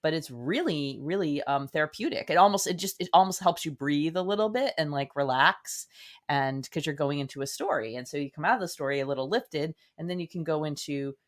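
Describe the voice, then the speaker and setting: A woman talks as a guest writer in a relaxed interview. Her delivery is earnest and reflective, wandering from one thought to the next as she explains. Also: deep voice, a little fast. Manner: earnest, meandering. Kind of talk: casual, reflective interview talk.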